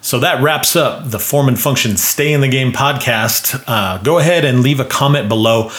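A man hosting his clinic's podcast solo, talking casually in a self-described monotone, with many fillers and rhetorical questions. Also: mid-range voice, moderate pace. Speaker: podcast host and clinic owner.

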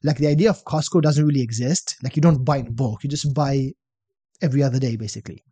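A young man from Israel with an Israeli accent, chatting as a podcast guest and telling stories in a relaxed way, often ending points with "right?". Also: mid-range voice, quick pace, husky, no echo. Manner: relaxed storytelling, tags statements with "right?". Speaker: podcast guest, fitness coach. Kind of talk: casual interview chat.

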